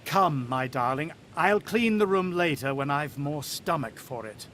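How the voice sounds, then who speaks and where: A man's voice: on the high side, animated, measured pace, fairly bright tone, slight background noise. A man acting in a radio drama as a shaken, earnest, protective father with a hesitant way of explaining himself. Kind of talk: radio drama acting and first-person narration.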